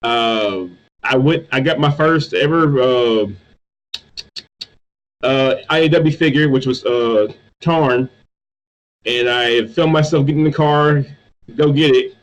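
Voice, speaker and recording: A man's voice: mid-range voice, slow, long pauses, lo-fi sound. A man from North Carolina, a guest in a casual interview, who often stammers and repeats his words. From North Carolina, USA. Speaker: guest, toy reviewer.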